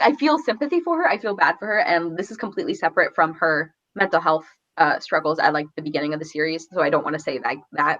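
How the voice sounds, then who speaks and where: A woman's voice: deep voice, fairly bright tone, muffled recording. A woman chatting casually about books in a rambling, conversational, giggly way. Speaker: book discussion host. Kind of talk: casual book discussion chat.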